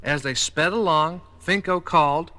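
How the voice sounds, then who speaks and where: Bright-voiced storybook narrator man. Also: on the high side, measured pace. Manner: clear, storybook delivery. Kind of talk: 1980s children's read-along narration. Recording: vintage vinyl record with faint music.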